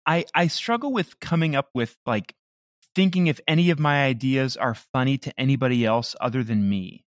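A man's voice: mid-range voice, lively intonation, moderate pace, dry recording. American guy from the midwest, casual podcast chat, earnest n self-deprecating, dry sound. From Midwestern United States. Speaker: comedy podcast creator. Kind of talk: casual interview conversation.

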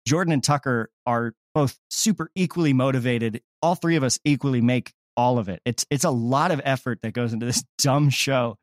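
A man's voice: mid-range voice, normal speed, hardly any pauses, dry recording, clean studio sound. A man speaking casually and emphatically as a comedy creator being interviewed.

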